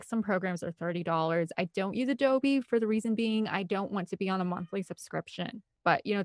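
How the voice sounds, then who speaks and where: A woman's voice: medium pitch, no echo, clean studio sound. A woman speaking as an interview guest in a casual, rambling and emphatic conversational way. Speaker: interview guest, film composer and director.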